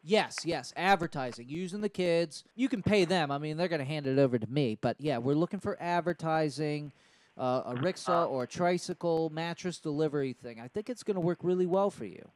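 Eager, scheming dad, played by a male prank caller: higher male voice, rambling and pushy, earnest salesman patter, dry sound. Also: fairly quick, darkish tone, no echo, natural voice. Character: eager, scheming dad pitching absurd business idea. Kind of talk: improvised prank phone call. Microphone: handheld microphone held close to mouth.

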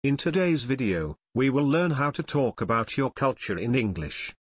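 A man narrating a scripted English lesson, reading slide text slowly and evenly as the channel's host. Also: medium pitch, very animated, husky, no echo. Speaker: English teacher.

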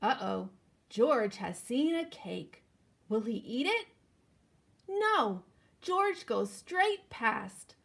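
A woman in her thirties giving an expressive picture-book read-aloud, speaking directly to young children. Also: a little high, very slow, gravelly, breathy edge. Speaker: children's librarian reading at story time. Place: indoors, living room at home.

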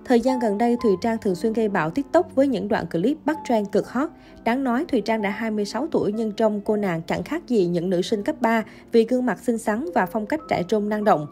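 A woman reading a scripted entertainment news narration in an even, steady delivery. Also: on the high side, brisk, faint music behind.

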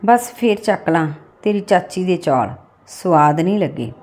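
A woman's voice: fairly low pitch, expressive, measured pace, darkish tone. A woman narrator voicing a weary farmer husband in a story, wry and placating. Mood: weary dismissal.

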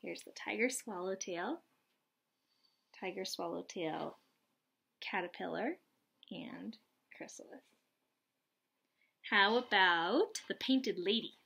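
Slow-paced young woman reading to children. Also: mid-range voice, creaky, bright, forceful tone, slightly rough. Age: young adult. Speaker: teacher reading aloud to second graders. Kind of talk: children's read-aloud and lesson. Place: small lamp-lit room at home, indoors.

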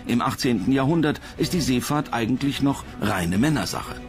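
A man's voice: mid-range voice, lively intonation, moderate pace, soft background music. A man reading scripted documentary narration as the narrator.

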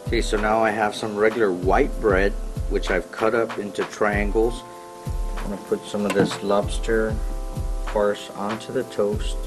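Middle-aged male chef-host, lowish voice, relaxed informal cooking demo on an outdoor patio, music behind. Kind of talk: casual cooking demonstration.

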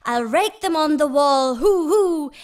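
A man voicing a giddy, mischievous little girl in a storybook reading, in a high falsetto. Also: lively intonation, unhurried. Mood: gleeful.

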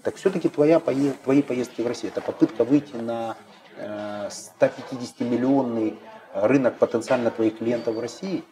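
A man's voice: medium pitch, normal speed, mellow, low-quality recording. A man in his fifties hosting an interview, asking direct, probing questions, recorded on a lapel mic in a large indoor cafe. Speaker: host.

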